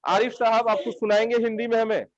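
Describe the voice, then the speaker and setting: A man's voice: very high-pitched, steady tone, a little fast, dry recording. A male teacher giving an online English vocabulary lesson in Hindi, who repeats his own questions and keeps pressing the class for yes-or-no answers. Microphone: online class microphone. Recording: dull, dry online lecture audio.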